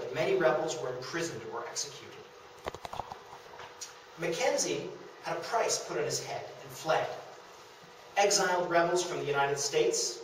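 Echoey, higher-pitched young man lecturing. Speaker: presenter of a local history talk. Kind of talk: prepared public lecture. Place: indoor meeting room in a historic house. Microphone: distant camera microphone. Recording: echoey room from far off, faint noise.

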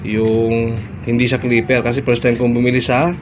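A man's voice: mid-range voice, flat delivery, normal speed, slight background noise. A middle-aged Filipino man chatting casually through an unboxing vlog, repeating short phrases. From Philippines. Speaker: barber vlogger.

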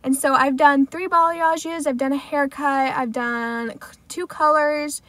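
A young American woman with a high voice chats casually in a vlog recorded inside a car. Her talk is informal and chatty, sprinkled with "like" as she explains things to the camera. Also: fairly even intonation, measured pace, a bit bright, no echo. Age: 20s. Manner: chatty, frequent "like" as filler. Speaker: salon assistant vlogger.

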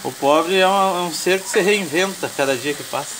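A man with a southern Brazilian accent presents a home recipe in a casual, joking way. He explains things in colloquial speech. Frying and stirring sizzle clearly behind him in the kitchen. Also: high-pitched, moderate pace, background noise. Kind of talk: casual cooking chat.

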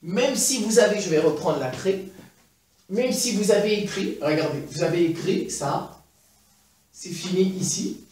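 A man's voice: high-pitched, unhurried, distant mic, a little hiss or hum. Man with Central African French accent, middle-aged, chalkboard teacher, very echoey classroom, heard from afar. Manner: animated, gesturing, repeats points with frequent "eh ben, voilà". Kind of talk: chalkboard lesson. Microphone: far camera.

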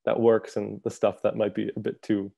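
A young man from Canada hosting a literary journal's interview over a video call, asking questions in a friendly, relaxed way full of fillers. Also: lowish voice, normal speed, vocal fry. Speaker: host, co-editor of a literary journal. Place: home room. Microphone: earphone mic on video call.

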